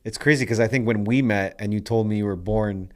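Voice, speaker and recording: Man, podcast host, lowish voice, easygoing, casual questions. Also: fairly quick, a little mellow. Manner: easygoing, asks questions and backs the guest up.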